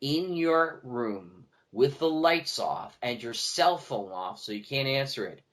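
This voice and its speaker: A man talking casually as a podcast guest, a teacher who tells stories in an animated way and acts out what people say. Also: mid-range voice, takes it slowly. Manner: animated storyteller, acting out quoted remarks. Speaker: podcast guest, teacher and audio drama producer. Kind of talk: casual conversational interview talk.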